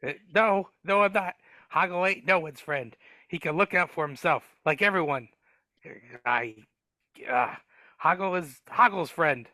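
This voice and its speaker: A man's voice: fairly high pitch, measured pace, pushed and bright, dry recording. A man voicing a grumpy, cowardly male dwarf who talks in broken grammar, read over a video call. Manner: gruff, ungrammatical speech. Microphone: home mic on a video call.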